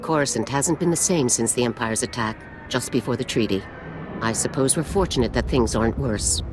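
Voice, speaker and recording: A woman's voice: a bass voice, brisk, music in the background. A woman acting a stern middle-aged female general in a video game, clipped, formal and authoritative. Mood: brisk, commanding. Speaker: military commander. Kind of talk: scripted game dialogue.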